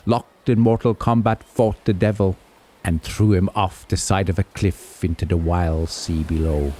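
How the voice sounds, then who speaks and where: A man narrating a folk tale as a storyteller, with theatrical, deliberate phrasing. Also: fairly low pitch, mellow, a little hiss or hum.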